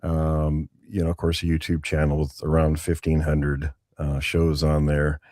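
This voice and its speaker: A man, an author and podcaster, answering interview questions in a smooth, deep radio voice and laughing easily. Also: completely flat delivery, unhurried, darkish tone, no echo, studio quality. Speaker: author and podcast host, guest.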